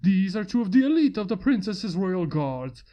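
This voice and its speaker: A pompous male guard pony, as a woman reading a fanfic puts him on, formal and archaic in speech, with a mellow, darkened tone. His lively rising pitch carries over a dull, lo-fi sound. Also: moderate pace, natural voice. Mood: commanding.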